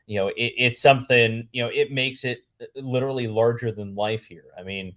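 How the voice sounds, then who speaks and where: A man's voice: mid-range voice, steady tone, fairly quick, fairly bright tone. A man from Pennsylvania answering conversationally as an interview guest, earnest and fond of lists and repeated phrases. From Pennsylvania, United States. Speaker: public address announcer and podcast guest.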